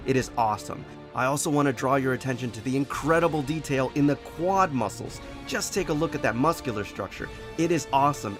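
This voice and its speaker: Sick, enthusiastic man reviewing collectibles. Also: mid-range voice. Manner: effusive, enthusiastic praise. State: sick, voice deeper than usual. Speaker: collectible toy reviewer, show host. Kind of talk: product review voice-over. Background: background music.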